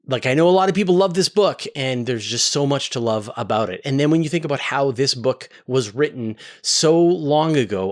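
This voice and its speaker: A man talking casually and emphatically to viewers as the host of a space Q&A show, explaining things with enthusiasm. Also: medium pitch.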